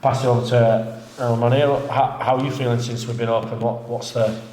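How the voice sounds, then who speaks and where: A man's voice: mid-range voice, fairly quick. A man with a cold and a slightly nasal voice, chatting casually as a jiu-jitsu coach about opening a new gym. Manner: relaxed, rambling, many fillers. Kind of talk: casual chat. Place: inside a martial arts gym. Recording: echoey room with faint noise.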